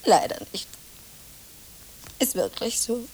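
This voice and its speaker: An actress playing a timid, overworked middle-aged office employee in a German radio play, hesitant and pleading. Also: female voice, on the high side, animated, slow pace, darkish tone, in a whisper, low-quality recording. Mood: tearful despair. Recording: hissy old radio play recording.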